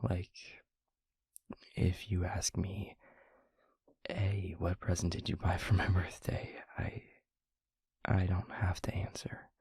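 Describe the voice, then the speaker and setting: A gentle vampire boyfriend, played by a man, speaks in a very breathy, husky near-whisper. His low voice is soft and mellow, with a slow, halting, tender delivery suited to intimate audio roleplay, recorded close and dry. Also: little pitch movement, no room sound, exaggerated voice. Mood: careful, explaining. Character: gentle, devoted male vampire boyfriend, earnest and soothing.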